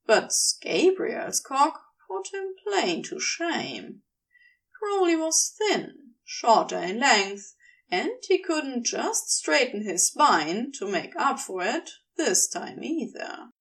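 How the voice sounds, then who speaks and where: Woman, fiction narrator, slow delivery, very lively intonation, wry and conversational, distant from the microphone. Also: high-pitched. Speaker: audio fiction reader. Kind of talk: expressive fan fiction narration.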